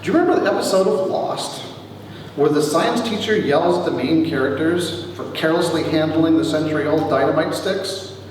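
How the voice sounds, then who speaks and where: A middle-aged man giving a wry, theatrical reading of his own comic memoir as its author, with deliberate pauses, recorded in a large echoey hall from a distance. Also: fairly high pitch. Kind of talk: dramatic comic memoir reading to a live audience. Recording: echoey hall, distant mic, faint noise.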